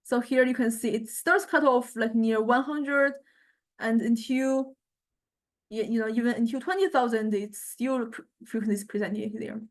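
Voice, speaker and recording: Female lecturer, slightly high voice, informal software demo, tentative delivery with pauses to find words. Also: fairly even intonation. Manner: hesitant, thinking aloud, searching for words. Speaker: music technology lecturer.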